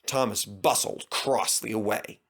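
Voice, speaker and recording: A man's voice: fairly low pitch, unhurried, fairly bright tone. A man narrating a scripted children's story.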